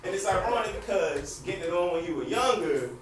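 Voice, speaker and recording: A man's voice: sits high, animated, fairly quick. A man acting a frustrated, wisecracking husband in a comedic stage monologue, talking straight to the audience with exaggerated, self-deprecating delivery. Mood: wry embarrassment. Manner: conversational, addresses the audience, exaggerated. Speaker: stage actor performing a monologue. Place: stage in a theater. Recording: noisy, echoey theater recording from far off.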